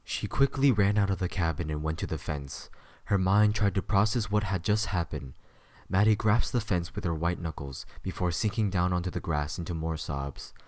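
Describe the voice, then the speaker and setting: Deep-voiced male story narrator. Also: moderate pace, darkish tone, dry recording.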